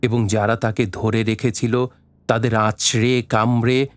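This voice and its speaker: A man's voice: medium pitch, level tone, measured pace, faint music behind. A man narrating a scripted story for an audio drama, in a literary storytelling style.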